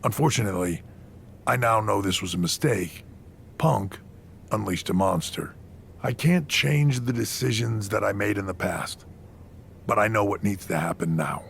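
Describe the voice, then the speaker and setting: Resolute old-school wrestling boss for a video game, deep creaky voice, measured promo. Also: male voice, a little hiss or hum. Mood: grave resolve. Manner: measured, forceful phrasing, dramatic pauses. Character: retired male wrestler turned company boss, stern and resolute.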